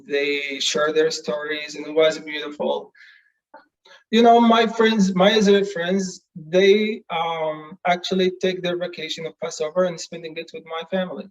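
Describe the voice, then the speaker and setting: Earnest man from Palestine, distant muffled call. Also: a little high. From Palestine, West Bank. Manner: earnest, hesitant, many fillers and restarts. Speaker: nonviolent communication trainer. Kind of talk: casual talk in an online session.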